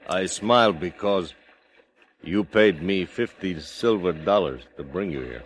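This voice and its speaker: Terse treacherous frontier guide. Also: male voice, deep voice, a little slow. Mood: calm menace. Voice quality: clipped broken English, terse. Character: treacherous half-breed guide, cold and greedy. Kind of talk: 1950s radio drama acting.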